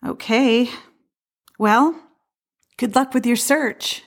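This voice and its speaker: Doubtful female lead, slightly high pitch, halting, protesting, natural comedic radio-play delivery. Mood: casual, curious. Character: skeptical, flustered woman who rejects romantic destiny. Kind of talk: short comedic audio play.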